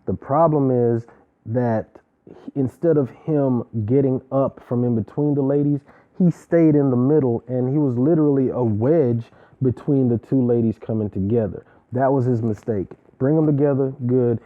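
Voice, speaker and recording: A man's voice: mid-range voice, moderate pace. A man giving a casual, opinionated recap of a reality show, talking in an emphatic, slangy way with preachy asides. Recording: tinny phone-like sound.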